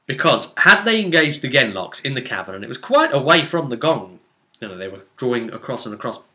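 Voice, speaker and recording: A man recounting a tabletop role-playing session as game master, in a casual, rambling, animated storytelling way. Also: mid-range voice, a little fast.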